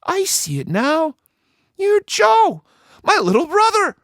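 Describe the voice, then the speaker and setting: A man's voice: very high-pitched, wildly swooping pitch, takes it slowly, fairly bright tone, dry recording, clean studio sound, voice pushed up, heavily acted. A man voicing a bossy, gleeful female pirate captain, an older sister, for a storybook. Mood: sweetly gushing. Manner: taunting, commanding storybook villain delivery.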